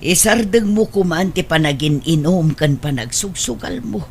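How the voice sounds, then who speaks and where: A woman's voice: fairly low pitch, expressive, moderate pace, vocal fry. A woman playing a worried, pleading elderly mother with an Ilocano accent in a radio drama. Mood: worried scolding. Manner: pleading, admonishing.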